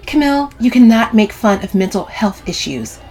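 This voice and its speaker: A woman's voice: mid-range voice, very animated, normal speed, darkish tone, soft background music, pitched above the performer's own voice, close to an everyday voice, poor audio. A woman narrator voicing an earnest, defensive, moralizing woman in an audiobook story, insistent and pleading. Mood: earnest, reproachful.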